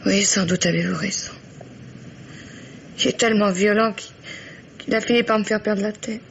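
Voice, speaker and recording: An actress playing a frightened, distressed wife in an old French radio mystery, speaking haltingly and repeating her words. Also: medium pitch, fairly even intonation, creaky, close to an everyday voice. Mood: pleading. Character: frightened, distressed wife fleeing a violent husband. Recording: dull, noisy vintage radio recording.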